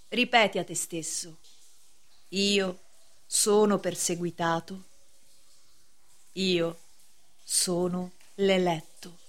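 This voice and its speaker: An actress playing a solemn, severe preacher in a radio play, speaking in a measured, declamatory way. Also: female voice, medium pitch. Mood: stern, oracular. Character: solemn, severe female preacher, cryptic gatekeeper of justice. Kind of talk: theatrical radio drama acting.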